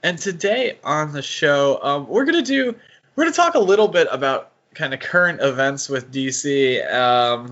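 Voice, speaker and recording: A dull, slightly lo-fi recording of a man hosting a podcast. His pitch sits in the middle for a male voice. He talks casually and haltingly, feeling his way through his points in a conversational manner. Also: fairly bright tone. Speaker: podcast host.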